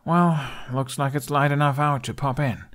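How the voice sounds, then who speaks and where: A man's voice: a little high, steady tone, normal speed, fairly bright tone, pitched above the performer's own voice, lightly acted. A man voicing a wry, playful, scrawny teenage boy with sarcastic animation in an audiobook. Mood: casual, grumbling. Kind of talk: audiobook character acting.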